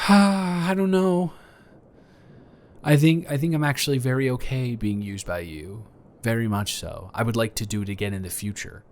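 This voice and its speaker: A man's voice: mid-range voice, moderate pace, a little mellow, close to an everyday voice. A man improvising a flirty, awkward neighbor in a romantic roleplay audio, stammering and earnest as he talks. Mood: flustered, flirty.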